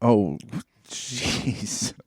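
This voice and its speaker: Man, lively varied pitch, relaxed dark tone, casual co-host chat, joking, teasing. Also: drawn-out words, slightly rough, dry recording, clean studio sound. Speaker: podcast co-host.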